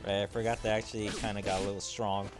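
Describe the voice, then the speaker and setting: A man hosting a gaming video, giving casual, low-key gameplay commentary with rambling asides. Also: little pitch movement, fast. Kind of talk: casual gameplay commentary, reading game dialogue aloud. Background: game music.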